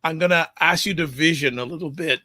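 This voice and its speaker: A man's voice: fairly high pitch, a little fast, sharp tone, no echo, studio quality. A man hosting an interview show, friendly and playful, often stumbling over his questions. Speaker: show host.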